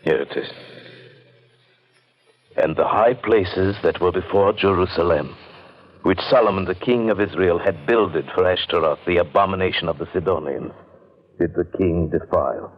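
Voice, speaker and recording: An actor playing a haunted, earnest man narrating an old-time radio drama with measured, brooding, halting delivery. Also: deep voice. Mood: grave, resolute. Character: haunted, earnest male narrator resolved to destroy a pagan grove. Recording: dull, band-limited vintage radio recording.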